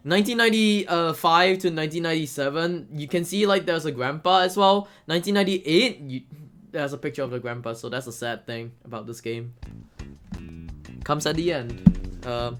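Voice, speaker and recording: A speedrunner from Singapore giving casual, rambling live game commentary in an excitable, giggly manner. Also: mid-range voice, moderate pace, soft background music.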